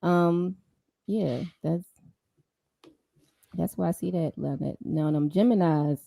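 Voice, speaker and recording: A woman with a low, mellow voice, sharing her opinions on a dating show with slow confidence. Her delivery is unhurried and drawling. The recording is dry and close. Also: gravelly, no echo. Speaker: podcast co-host. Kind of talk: casual reality-show discussion.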